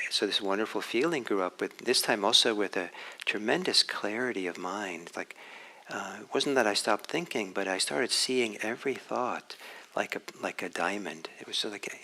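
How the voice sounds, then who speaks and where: A man from Norway gives a dharma talk as a meditation teacher. His voice sits low yet carries a bright, forward edge. He speaks in an unhurried, reflective way and often pauses to restart his thoughts. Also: poor audio.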